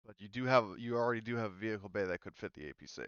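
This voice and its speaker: Guy game master, clean smooth voice, kinda hesitant, dry slightly muffled audio. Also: fairly low pitch, very fast, a bit bright, no echo. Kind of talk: running an online tabletop roleplaying game. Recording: slightly dull, lo-fi voice-chat audio.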